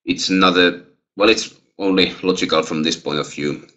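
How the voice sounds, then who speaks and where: A man's voice: low voice, fairly even intonation, moderate pace, fairly bright tone, muffled recording. A young man with a Finnish accent, a lecturer answering questions in a webinar over a headset on a video call, hesitant, with many fillers and restarts. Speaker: guest lecturer.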